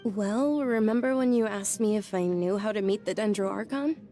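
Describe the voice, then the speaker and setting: A woman voicing a gentle, polite young noblewoman who talks softly and earnestly in a game cutscene. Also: medium pitch, normal speed, soft background music, close to an everyday voice. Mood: reminiscing. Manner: soft-spoken, courteous, earnest storytelling. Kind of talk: video game cutscene voice acting.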